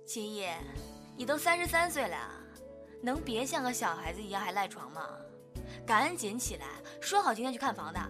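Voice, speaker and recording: A woman's voice: fairly high pitch, big pitch swings, moderate pace, over music. A woman acting a bossy, feisty young woman in a Chinese radio drama. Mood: exasperated, scolding.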